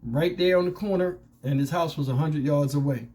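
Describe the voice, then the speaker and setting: A man's voice: on the high side, brisk, darkish tone. A man giving casual opinion commentary as a channel host, speaking colloquially and conversationally with rhetorical questions.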